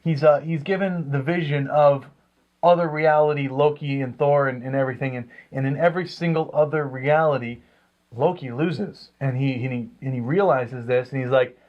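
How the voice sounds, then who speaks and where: A man co-hosting a comics review show, chatting casually with hesitant, rambling phrasing. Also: fairly high pitch. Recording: dull lo-fi sound.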